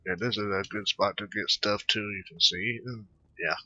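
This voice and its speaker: A man giving casual gameplay commentary, halting and muttering to himself as he goes. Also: lowish voice, steady tone, bright, forceful tone. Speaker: gaming video host. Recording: dull, dry close home recording.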